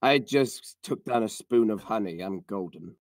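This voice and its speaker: A man co-hosting a casual podcast who recaps a story, easygoing and with a slightly rough voice. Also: fairly low pitch, expressive, normal speed, no room sound, clean studio sound. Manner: easygoing, agreeable, murmurs "mm".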